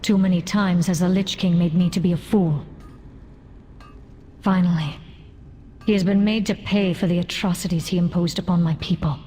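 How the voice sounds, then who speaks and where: A woman's voice: on the low side, fairly even intonation, moderate pace, long pauses, dark tone, slight background noise, natural voice. A woman playing a bitter, proud undead queen in a fantasy game, speaking in a solemn, imperious, formal way. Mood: bitter relief. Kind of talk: fantasy game cutscene acting. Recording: long hall reverb over music.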